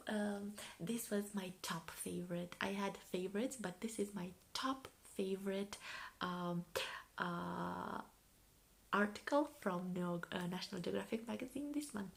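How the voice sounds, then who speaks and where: A woman from Romania with a Romanian accent, speaking softly in an ASMR style and often slipping into a whisper, musing and repeating short phrases. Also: medium pitch. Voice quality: soft, often breaking into whispers. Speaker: ASMR video creator reviewing a magazine. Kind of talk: soft-spoken ASMR.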